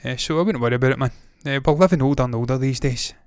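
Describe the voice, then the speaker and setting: A man's voice: medium pitch, racing, smooth, no room sound, clean studio sound. A man in his thirties hosting a movie news show, talking casually, with rambling opinionated asides. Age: 30s.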